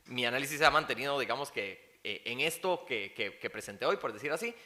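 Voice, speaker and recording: A man's voice: medium pitch, lively intonation, a little fast, bright, forceful tone. A man on a university panel answering a question cautiously, hedging and restarting often. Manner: hesitant, cautious, many fillers and restarts. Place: university lecture hall.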